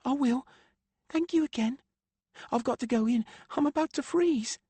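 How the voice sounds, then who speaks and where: Man doing a bright eager young woman. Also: extremely high pitch, normal speed, breathy edge, no echo, voice pushed up. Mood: delighted. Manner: breathless, delighted.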